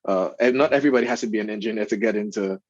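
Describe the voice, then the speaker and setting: Trini guest, kinda low voice, earnest and careful, dull muffled audio. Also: male voice, no echo. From Trinidad and Tobago. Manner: earnest, measured, with fillers and restarts. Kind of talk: casual interview chat.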